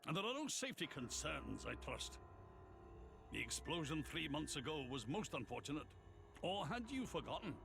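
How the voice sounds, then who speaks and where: A man playing a stern, brooding military commander in a video game, cold, measured and formal. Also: on the high side, sing-song, creaky, over music. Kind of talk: video game cutscene acting.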